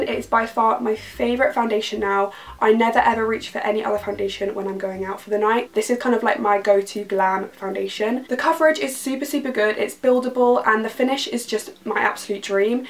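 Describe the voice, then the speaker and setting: Young British woman beauty vlogger, slightly croaky, effusive and chatty, casual bedroom review to camera. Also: a little high, steady tone, fairly quick, hardly any pauses. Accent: British English. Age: young adult. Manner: gushing, enthusiastic, repeats words for emphasis. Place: bedroom, indoors.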